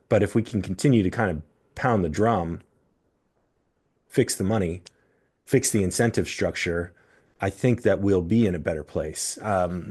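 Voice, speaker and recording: A man hosting a podcast, explaining his views at length in a measured, steady way. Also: sits low. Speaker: podcast host. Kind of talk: casual political discussion.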